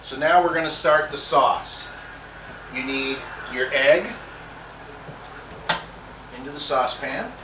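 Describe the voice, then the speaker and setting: A man's voice: mid-range voice, slow pace, long pauses. A middle-aged man hosting a casual home cooking show, chatty and joking to himself as he works. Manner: jokey, chatty, talks to himself while cooking. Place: home kitchen. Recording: roomy kitchen sound from a distant mic, clear background noise.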